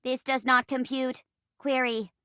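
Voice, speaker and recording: Slow, stilted robot crew member. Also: female voice, high voice, little pitch movement, bright, forceful tone, smooth, dry recording, clean studio sound. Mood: measured, logical. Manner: stilted, deliberate robotic delivery. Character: earnest, logical female robot crew member in space drama. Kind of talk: theatrical sci-fi game voice acting.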